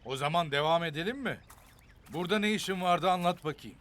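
A man voicing a steady, protective grown warrior in a children's cartoon, commanding and reassuring. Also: fairly high pitch, brisk, close to an everyday voice. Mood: calm, inquiring. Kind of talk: animated children's adventure cartoon acting.

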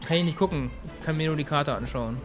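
A man's voice: a little high, quick pace, soft background music. A young man playing a game and commenting casually and playfully with a partner. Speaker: let's player playing the game.

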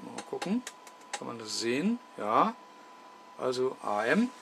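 A man's voice: mid-range voice, very animated, measured pace, creaky, background noise. A man gives an unscripted technical explanation as a host, methodically and with frequent hesitations. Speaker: host demonstrating electronics repair. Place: indoor electronics workbench.

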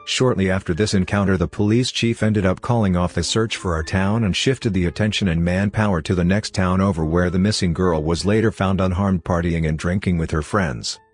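Deep-voiced man narrating horror stories. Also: steady tone, brisk, few pauses. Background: faint music.

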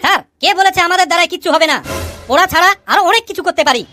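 A man playing an argumentative, know-it-all cartoon schoolboy with a pitched-up voice. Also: rapid-fire, pushed and bright, faint music behind. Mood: defiant. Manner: argumentative, preachy. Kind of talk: comic cartoon dialogue.

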